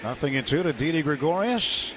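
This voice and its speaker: American male baseball radio announcer, fairly high voice, clipped descriptive phrasing, clearly noisy ballpark broadcast. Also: expressive, brisk. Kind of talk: live sports commentary. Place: outdoor ballpark broadcast booth.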